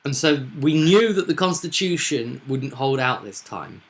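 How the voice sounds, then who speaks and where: A man talking casually as a game master, recapping and explaining a tabletop roleplaying session in a halting, thinking-aloud way. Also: medium pitch, sing-song, vocal fry.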